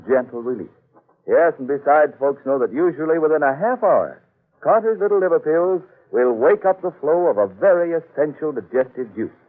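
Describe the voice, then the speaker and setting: 40s radio ad announcer man, polished reassuring pitch, bouncy delivery, tinny phone-like sound. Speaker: radio announcer reading an advertisement. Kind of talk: 1940s scripted radio pitch. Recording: tinny old narrow-band radio recording.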